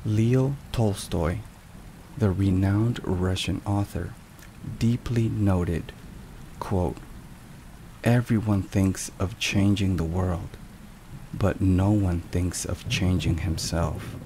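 A man with a low voice narrates in a solemn, scripted ASMR style. He speaks very slowly and with measured care. Rain and thunder are clearly heard behind him. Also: steady tone, warm tone, slight background noise, poor audio. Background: thunderstorm and rain sounds.

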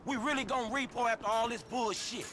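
A man's voice: very high voice, fast, music in the background. An actor playing an exasperated, slangy young repo man in a video game. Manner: slangy, complaining.

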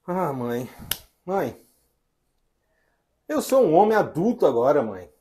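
Male voice, man acting torn husband and son, Brazilian accent, hesitant, earnest, very lively pitch, domestic drama. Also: takes it slowly, husky, full character voice. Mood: firm, earnest.

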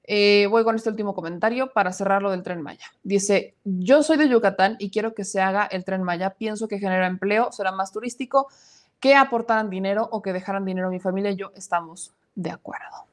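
A woman's voice: medium pitch, fairly quick. Woman in her 30s, live-stream news host, emphatic commentary on viewer comments, small home studio. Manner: emphatic, reads comments aloud and adds opinions.

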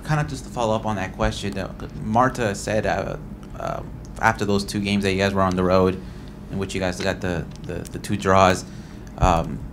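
Man, reporter, very low voice, rambling question, clear room noise. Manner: rambling, hesitant with fillers. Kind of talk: asking a long press-conference question.

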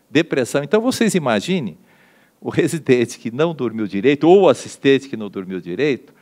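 A middle-aged Brazilian man giving a conference lecture at a podium microphone in an auditorium, measured and dotted with fillers and tag questions. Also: medium pitch. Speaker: lecturer at a medical forum.

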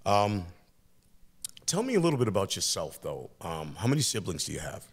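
A man's voice: lowish voice, very animated, normal speed, husky. An older man, a teacher hosting a studio podcast, interviewing a student with reflective, encouraging remarks in long flowing sentences. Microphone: boom-arm studio mic.

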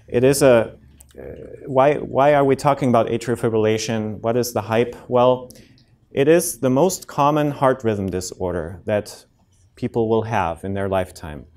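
A man's voice: medium pitch, darkish tone. Man with a German accent, thirties, heart doctor lecturing the public, friendly, hesitant ums, lecture room, handheld mic. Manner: friendly, plain explanations with many ums and restarts. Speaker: cardiologist giving a public health talk. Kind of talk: informal lecture to a community audience. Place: lecture room before a seated audience. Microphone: handheld and podium microphone.

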